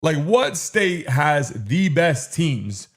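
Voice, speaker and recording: A man's voice: on the high side, big pitch swings, drawn-out words. A man hosting a live sports stream, casually hyping up viewers in slang and repeating his phrases. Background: game broadcast and commercials.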